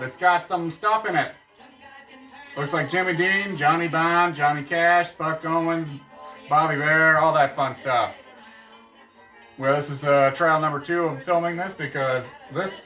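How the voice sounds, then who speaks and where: A man's voice: fairly high pitch, sharp tone, distant mic, low-quality recording. A man casually chatting about his reading plans on a book vlog, joking around and correcting himself as he goes. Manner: jokey, meandering, self-correcting. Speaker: book vlogger. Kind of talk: casual rambling book chat.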